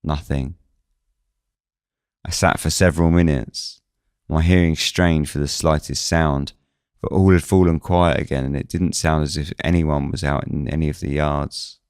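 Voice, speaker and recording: A man's voice: rumbling low, steady tone, moderate pace, a little mellow, clear, resonant tone, no room sound, clean studio sound. A man reading scripted first-person suspense narration as the narrator of a fiction podcast.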